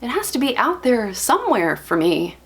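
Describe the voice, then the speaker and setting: A woman's voice: a little high, big pitch swings, voice pushed up. A woman performing a dramatic monologue as a lovelorn young bookworm, confessional and self-deprecating, speaking with earnest emphasis. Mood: aching, pleading. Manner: earnest, emphatic, halting with feeling.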